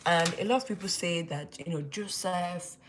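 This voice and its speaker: A woman's voice: fairly low pitch, moderate pace. A young woman with a Nigerian accent hosting a casual late-night Bible study livestream, rambling and often restarting her sentences with "like". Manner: rambling, restarts, heavy use of "like".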